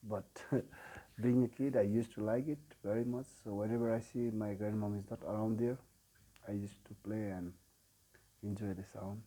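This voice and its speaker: Nepali guy in his 40s, sound healer telling his story, low mellow voice, super slow with lots of uhs. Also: fairly even intonation, low-quality recording. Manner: unhurried, with frequent pauses and filler sounds. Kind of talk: personal life story told to camera.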